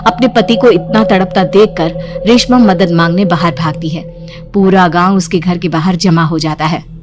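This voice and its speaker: A woman's voice: lowish voice, steady tone, normal speed, over music. A woman reading scripted folk-tale narration as a storyteller.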